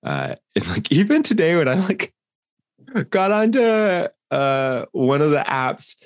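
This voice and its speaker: A man's voice: a little high, sing-song, slow pace, no echo. A man co-hosting a podcast, talking casually and earnestly, with lots of fillers and restarts. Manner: rambling, filler-heavy, earnest. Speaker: podcast co-host. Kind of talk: casual chat.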